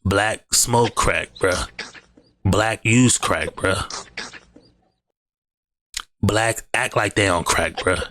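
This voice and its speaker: A man's voice: lowish voice, very slow, long pauses, fairly bright tone, slight background noise. A man hosting a reaction video, giving blunt, slangy commentary on clips and repeating "bruh" a lot. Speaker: reaction host. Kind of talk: casual commentary over clips.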